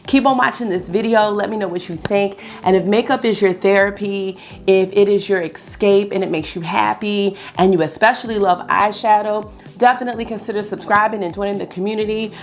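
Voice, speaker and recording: A woman's voice: mid-range voice, steady tone, a little fast, few pauses. A woman in her thirties chatting casually to camera as a beauty vlogger from her bedroom, upbeat and talkative, her voice a little scratchy this early in the morning. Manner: chatty, upbeat, addresses viewers as y'all. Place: bedroom at home, indoors.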